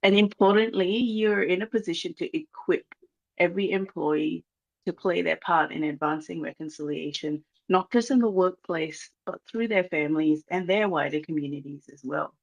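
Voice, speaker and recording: Malaysian accent, talking over a video call, bit of gravel in the voice, lots of ums. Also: mid-range voice, lo-fi sound. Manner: earnest, measured, many um pauses. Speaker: guest speaker from a reconciliation organization. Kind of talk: formal address at a launch event.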